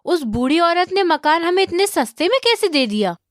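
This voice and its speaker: A woman playing an anxious young village wife in an animated comedy story. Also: very high voice, moderate pace, dry recording, clean studio sound. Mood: concerned.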